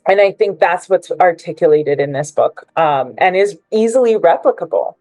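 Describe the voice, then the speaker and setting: A woman's voice: on the low side, expressive, normal speed, darkish tone, no room sound, soft background music. A woman giving prepared, persuasive remarks as a panelist at a book presentation, joining remotely.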